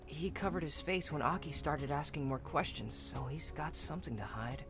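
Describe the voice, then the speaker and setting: A woman's voice: low voice, lively intonation, normal speed. A woman voicing a timid, observant youngster in a visual novel, with a hesitant, earnest manner. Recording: prominent music behind the voice.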